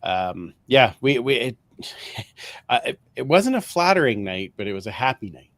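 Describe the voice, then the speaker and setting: A man's voice: mid-range voice, sing-song, normal speed, creaky, a bit bright, dry recording. A man hosting a casual sports podcast in an easygoing, chuckling way, often repeating his phrases. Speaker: podcast host.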